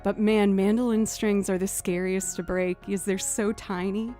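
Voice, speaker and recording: A young woman with a lower, mid-pitched voice chats casually to viewers on her live stream. She rambles a little, thinks aloud and fills gaps with easy asides. Faint music sits behind her. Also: fairly even intonation, normal speed, warm tone. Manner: chatty, thinking aloud with fillers.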